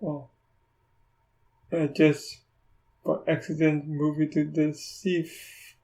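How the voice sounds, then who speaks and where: A South African man whose first language is Afrikaans, casually talking through a screen recording of software, often stopping and restarting. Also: on the high side, flat delivery. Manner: halting, restarts often, thinks aloud. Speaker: tech YouTuber.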